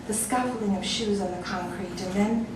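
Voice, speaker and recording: A woman's voice: mid-range voice, normal speed. A woman narrating a comic short story in a wry, amused way, often breaking into laughter. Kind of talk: audiobook story reading. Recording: echoey room, distant mic, noisy.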